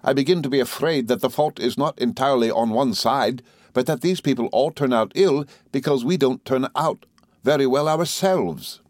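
A male reader acts a young husband from a classic novel. He is earnest and patient, reasoning in formal, measured phrases with gentle reproof and some exasperation. The voice is mid-pitched and closely recorded. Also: no room sound, studio quality, close to an everyday voice. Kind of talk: dramatised classic novel reading.

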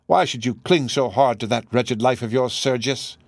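A man's voice: mid-range voice, moderate pace, gravelly, no echo, clean studio sound. A man reading a proud, weary foreign woman. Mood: disdainful. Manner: dignified, steady confession.

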